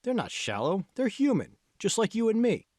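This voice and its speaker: A man's voice: high voice, huge pitch swings, normal speed, a little mellow, dry recording, studio quality, pitched above the performer's own voice. A man voicing a confident, encouraging college friend in an audiobook, prodding and persuasive. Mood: insistent encouragement. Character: confident, encouraging young male college friend, insistent coach. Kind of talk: audiobook character dialogue.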